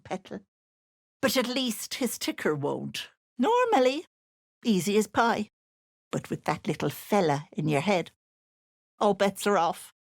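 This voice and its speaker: Sly old auntie witch, swooping pitch. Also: dry recording, studio quality, heavily acted. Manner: cooing endearments laced with menace. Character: sly, syrupy older auntie witch and potion seller. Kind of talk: video game character voice lines.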